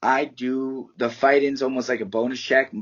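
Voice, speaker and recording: A man talks through a candid, loose interview, rambling a little and swearing without a second thought. His voice has a husky edge to it, and the call audio is muffled and lo-fi. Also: mid-range voice, little pitch movement, normal speed. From United States. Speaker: MMA fighter and martial arts teacher. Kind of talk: casual interview answers.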